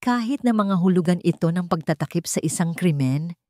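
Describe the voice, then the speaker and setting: A woman voicing a brave young undercover maid, calm and resolute, in a dramatic story. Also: on the low side, moderate pace, no room sound, studio quality, close to an everyday voice. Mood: challenging.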